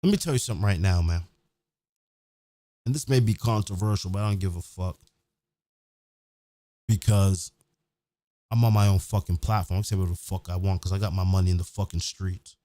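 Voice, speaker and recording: A man's voice: deep voice, a little fast, pauses often, warm tone, no echo. Profane, blunt man ranting, dry close sound. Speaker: host of his own show. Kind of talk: opinionated monologue, casual rant.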